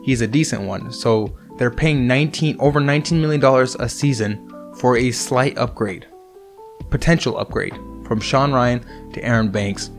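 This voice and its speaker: Hoarse young man giving sports takes. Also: medium pitch. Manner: casual, opinionated, conversational. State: hoarse from illness. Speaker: host. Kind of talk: sports commentary monologue. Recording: faint music underneath. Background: soft background music.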